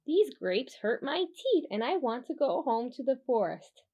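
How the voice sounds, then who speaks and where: Woman voicing a picky fox, homesick, plaintive, high voice, animated, children's storybook. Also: unhurried, lo-fi sound, full character voice. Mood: complaining.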